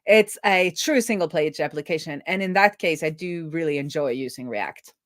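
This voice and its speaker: A woman's voice: sits low, normal speed. A woman thinking aloud in a chatty, casual way as she live-streams a coding session. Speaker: streamer and web developer.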